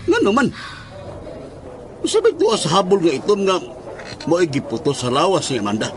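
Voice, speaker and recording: An anxious man in a horror radio play, acted by a man, with a high and lively voice. He questions urgently. The recording is clearly noisy. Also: normal speed. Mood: anxious, demanding. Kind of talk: audio drama acting.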